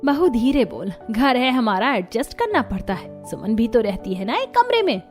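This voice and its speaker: A woman's voice: fairly high pitch, very animated, normal speed, soft background music. Stern village mother-in-law, scolding woman acting. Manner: scolding, bossy. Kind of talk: Hindi cartoon story dialogue.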